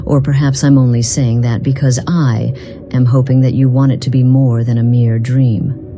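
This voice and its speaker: A woman playing a smug, self-assured, mysterious video game companion who speaks in a measured, formal, condescending way. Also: extremely low pitch, level tone, over music. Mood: coolly teasing. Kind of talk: scripted video game character dialogue.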